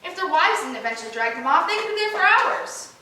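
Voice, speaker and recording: A woman's voice: very high voice, sing-song, fast, pushed and bright, exaggerated voice. A woman playing a vain, ditzy young actress with bubbly, emphatic chatter in a stage play rehearsal. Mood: self-satisfied, chatty. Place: echoey indoor rehearsal room. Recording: very echoey room, distant mic, faint noise.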